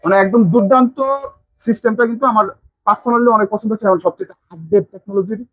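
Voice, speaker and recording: Male shop presenter, Bangladeshi accent, rattles through an informal product pitch at top speed, dry close sound. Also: fast, fairly bright tone, dry recording, poor audio. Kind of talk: informal sales demonstration.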